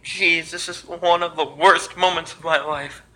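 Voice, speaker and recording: Man playing male TV reporter, panicky and exasperated, high pitch, piercing bright tone, amateur disaster drama. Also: steady tone, measured pace, a little hiss or hum, poor audio. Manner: exclamatory, grumbling, agitated.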